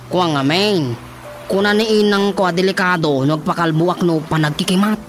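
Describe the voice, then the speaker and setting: An actor playing a naive, earnest young son with an Ilocano accent in a radio comedy-drama. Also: male voice, sits high, normal speed, fairly bright tone. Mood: explaining. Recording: prominent music under the dialogue.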